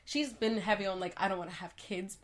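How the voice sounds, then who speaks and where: A woman's voice: medium pitch, fairly even intonation, fairly quick. A woman, chatting as a podcast co-host. She sounds congested, with a dry throat. Her voice sits in a middle register, and she talks in loose, run-on stories that she often breaks with laughter. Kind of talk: casual chat.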